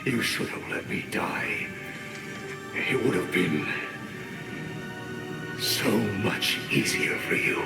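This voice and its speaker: An actor playing a grand, vengeful, icy villain in a theatrical video game style. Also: male voice, fairly low pitch, animated, takes it slowly, long pauses. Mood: weak, bitter. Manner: theatrical, declaiming. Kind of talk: dramatic video game acting. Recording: echoey, distant, under very loud music.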